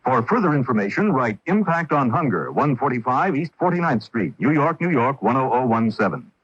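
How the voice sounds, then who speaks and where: A man's voice: medium pitch, animated, fairly quick, a bit bright, husky, no room sound. A man reading scripted announcements as a radio announcer. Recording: dull old AM radio recording.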